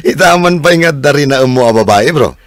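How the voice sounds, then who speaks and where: Man acting as laid-back workmate, teasing, lowish creaky voice, animated intonation, dry sound. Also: unhurried, no room sound. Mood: casual. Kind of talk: audio drama acting in casual dialogue.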